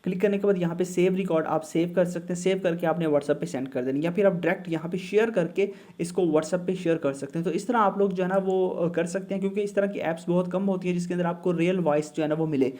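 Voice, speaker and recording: A man's voice: sits high, steady tone, quick pace, hardly any pauses, dark tone. A man with a Pakistani accent narrates a casual how-to tutorial as its host, giving step-by-step instructions with frequent check-in tags. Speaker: host of a tech tutorial channel.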